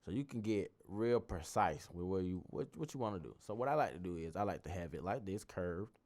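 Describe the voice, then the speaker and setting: A man with a bassy voice talking loosely through a casual tutorial, often restarting his sentences. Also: expressive, fairly quick, a little mellow. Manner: loose, rambling explanations with frequent restarts. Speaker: tutorial host. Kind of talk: casual screen-recorded tutorial.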